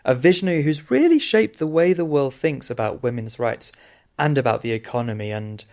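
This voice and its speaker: A man co-hosts a podcast in easy, casual conversation. He sounds eager and often doubles words as his thoughts run ahead, at a middling pitch. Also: lively intonation, fairly quick, a little mellow. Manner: eager, repeats words as he talks. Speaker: podcast co-host. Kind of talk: casual chat.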